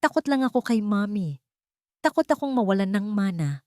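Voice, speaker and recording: A woman's voice: mid-range voice, very animated, normal speed, a little mellow, no echo, clean studio sound, natural voice. A Filipino woman voicing a cowardly rich husband who pleads tearfully in an audio romance story. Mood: tearful begging.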